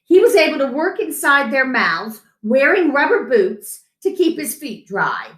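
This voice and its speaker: Animated middle-aged woman reading slowly, roomy sound. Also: high voice. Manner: animated, chatting to an unseen guest between lines. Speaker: children's story time reader. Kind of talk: expressive picture-book read-aloud. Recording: roomy echo.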